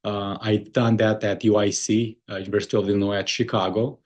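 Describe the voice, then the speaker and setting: A middle-aged man from Romania with a Romanian accent, speaking earnestly as an interview guest, often pausing on "uh" and restarting his sentences. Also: fairly low pitch, level tone. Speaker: guest, moving company founder. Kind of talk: conversational interview.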